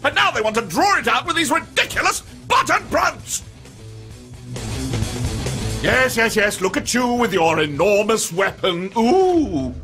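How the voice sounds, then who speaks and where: A pompous alien tyrant. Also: male voice, big pitch swings, measured pace, sharp tone, faint music behind, exaggerated voice. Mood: indignant. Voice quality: pompous, dramatic swings from low to high. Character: vain alien emperor, pompous and theatrical. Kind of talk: video game voice acting.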